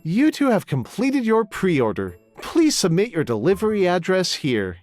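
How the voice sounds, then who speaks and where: An actor playing a courteous, eager local vendor, giving polite storytelling sales patter in a video game cutscene. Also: male voice, high voice, huge pitch swings, unhurried, darkish tone, soft background music, exaggerated voice. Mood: courteous, persuasive.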